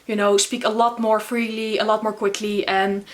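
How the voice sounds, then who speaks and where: A young Dutch woman with a Dutch accent, talking casually to camera in English, often pausing with fillers. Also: mid-range voice, flat delivery. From the Netherlands. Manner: chatty, frequent filler words and restarts. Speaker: booktuber. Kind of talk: casual vlog talk to camera.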